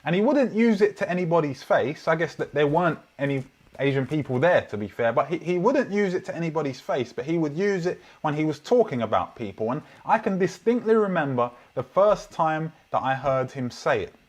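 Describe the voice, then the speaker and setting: A man's voice: fairly high pitch, expressive, brisk. A young man with a London accent preaching a sermon, telling personal stories in an earnest, reflective way. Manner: earnest, reflective storytelling with frequent restarts. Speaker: pastor.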